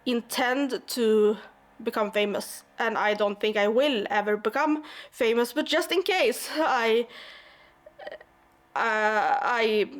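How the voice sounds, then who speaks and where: A young woman from Sweden with a Swedish accent, talking to camera in her vlog, with halting restarts and fillers. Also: fairly high pitch. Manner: slow, hesitant, many restarts and fillers. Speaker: YouTuber. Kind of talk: personal vlog talk.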